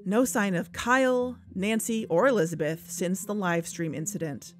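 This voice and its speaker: Faint music sits under a woman acting a female investigator who co-hosts a podcast. Her voice is medium in pitch. She tells the case in a conversational, earnest and confiding manner, with wry casual asides. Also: normal speed, close to an everyday voice. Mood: serious, frustrated. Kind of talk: conversational scripted audio drama narration. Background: background music.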